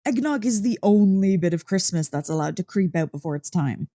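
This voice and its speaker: A woman playing an excitable, playful dog-girl girlfriend in an ASMR roleplay, gushing and teasing with mock indignation. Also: lowish voice, fairly quick, no echo, clean studio sound, close to an everyday voice. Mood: playfully indignant. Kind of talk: ASMR roleplay, one-sided conversation.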